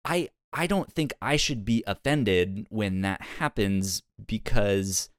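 A man's voice: fairly low pitch, slow, no room sound, studio quality. A man hosting a review podcast in casual conversation, stammering and often repeating sounds and words as he goes. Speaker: podcast host.

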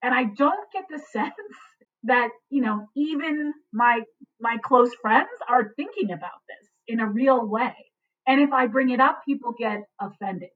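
A woman's voice: on the high side, unhurried, recorded from a distance, a little hiss or hum. A woman in her forties, an adoptee and writer, speaking earnestly in a panel conversation and often adding "you know" and "right". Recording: tinny phone-like line.

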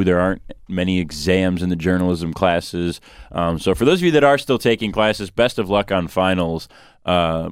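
A man's voice: low voice, smooth, dry recording, clean studio sound. A young man hosting a sports radio show in a casual, conversational style. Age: young adult. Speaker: sports talk show host.

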